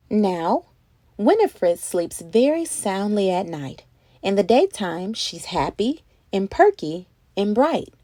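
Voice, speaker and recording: A woman reading a children's story aloud in an expressive, animated way, acting out words as she goes. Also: mid-range voice, no echo, studio quality. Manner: animated, acts out words and sound effects. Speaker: children's read-aloud host.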